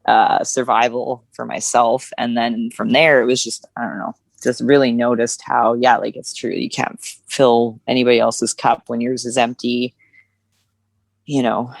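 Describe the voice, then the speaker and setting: A woman's voice: extremely low pitch, level tone, moderate pace, vocal fry, no room sound. A woman co-hosting a podcast, talking frankly and casually with lots of fillers. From Canada. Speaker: podcast co-host. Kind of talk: candid conversational chat.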